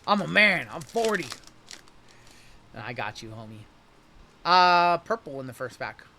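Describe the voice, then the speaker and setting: A man in his late thirties runs a live card break stream, talking in a loose, chatty way as he reads off players and answers viewers. His voice sits a little high and has a bright, forceful edge. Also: very animated, a little slow, gravelly, a little hiss or hum. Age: 30s. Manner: chatty, rattles off player names and chats with viewers.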